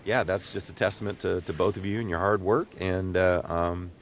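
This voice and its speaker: Deep-voiced man, halting interviewer. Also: fairly even intonation. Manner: halting, repeats words while searching for thoughts. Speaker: podcast host and interviewer. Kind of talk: casual conversational interview. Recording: low rumbling background noise.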